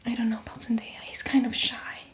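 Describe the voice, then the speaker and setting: A woman playing a boastful, playfully menacing female zombie in a chatty, teasing ASMR roleplay, speaking in a breathy, hushed whisper. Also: fairly high pitch, flat delivery, fairly quick, close to an everyday voice. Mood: chatty, proud. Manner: chatty, teasing, addresses the listener as victim.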